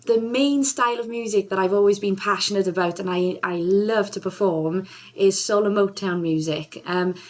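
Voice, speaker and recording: Bubbly woman singer from Wales, enthusiastic, quick to laugh, mid-pitched. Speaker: singer and charity ambassador. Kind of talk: casual interview chat.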